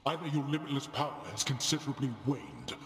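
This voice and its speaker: An actor playing an imperious, wrathful god of the underworld in a video game, pompous and scornful. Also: male voice, medium pitch, fairly even intonation, fast talker, creaky, fairly bright tone. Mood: wrathful, accusing. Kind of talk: video game character acting. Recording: echoing, long hall reverb.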